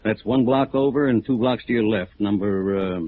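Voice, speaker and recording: An actor playing an older small-town sheriff in a 1950s radio drama, husky and folksy, hesitant and quietly menacing. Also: male voice, on the low side, natural voice. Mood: folksy, veiled warning. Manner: hesitant, folksy, drawn-out understatement. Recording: narrow, tinny old radio recording.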